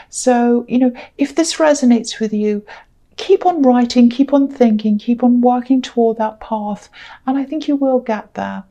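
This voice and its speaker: A woman with a croaky, hoarse voice giving a casual tarot reading, rambling and reassuring with many ums and restarts. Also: fairly high pitch, dark tone. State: hoarse, voice going. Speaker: tarot reader.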